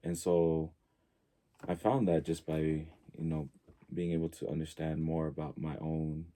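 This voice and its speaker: A man in his early forties from Tonga, talking casually and reflectively as a podcast guest, halting as he thinks aloud. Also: rumbling low, flat delivery, dark tone. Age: early 40s.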